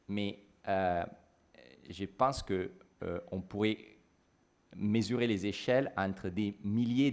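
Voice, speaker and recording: A man in his forties with an Italian accent, a consultant speaking plainly and thoughtfully on a conference panel in a lecture hall. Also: lowish voice. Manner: thoughtful, plain-spoken, self-correcting.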